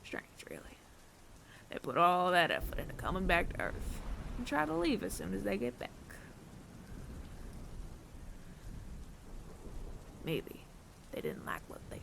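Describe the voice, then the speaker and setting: A man playing a menacing, world-weary bounty hunter of androids in an ASMR audio roleplay, taunting the listener with deliberate pauses and often sinking to a near whisper. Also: high voice, very animated, vocal fry, exaggerated voice. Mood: wry, unhurried. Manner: slow, deliberate, taunting, with long dramatic pauses.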